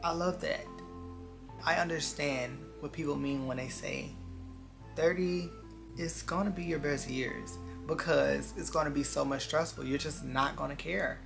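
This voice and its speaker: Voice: mid-range voice, steady tone, unhurried, gravelly. A vlogger in their twenties chatting casually and reflectively to viewers in an earnest, confiding way, repeating phrases for emphasis. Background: background music.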